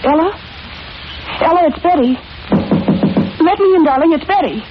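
A woman's voice: very high voice, expressive, normal speed, muffled recording, loud music behind the voice. An actress playing an anxious, insistent young woman in 1940s radio horror, urgent and melodramatic, on an old broadcast recording. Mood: anxious, pleading. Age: young adult. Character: anxious, insistent young woman seeking her missing sister. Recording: band-limited old radio recording, faint noise.

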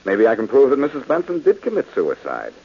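Wry reformed ex-crook, husky, low, lively delivery, old radio drama sound. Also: male voice, brisk, heavily acted. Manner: dry wisecracking. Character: wisecracking reformed ex-crook man, cool. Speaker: lead detective character. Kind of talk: 1940s radio acting. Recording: dull old radio broadcast.

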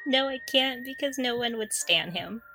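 A woman's voice: on the high side, normal speed, bright, forceful tone, soft background music, rough recording. A woman co-hosting a podcast in casual fan chat, gushing and giggly as she talks. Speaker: podcast co-host.

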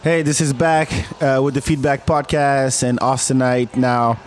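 A man's voice: mid-range voice, little pitch movement, measured pace. A man from Senegal telling a ghost story in casual talk, rambling and repeating words as he acts out the dialogue. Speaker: guest storyteller.

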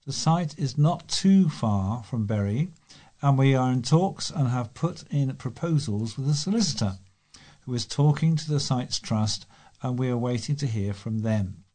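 A British man reads local news items from a script as a volunteer newsreader. His voice is mellow and dark in tone, sitting at an average pitch for a man. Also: lively intonation, measured pace.

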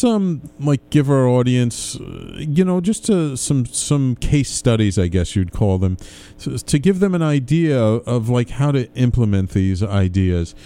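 A man's voice: medium pitch, warm tone. A man hosting a radio interview in a casual, conversational way, feeling his way through loosely phrased questions. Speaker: radio show host, interviewer.

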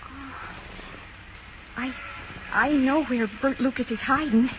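A woman's voice: high voice, slow. A woman playing an anguished, frightened criminal's wife in a 1950s radio drama, speaking hesitantly and plainly. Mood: troubled, confiding. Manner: hesitant, plain unschooled speech. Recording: dull, noisy 1950s radio recording.

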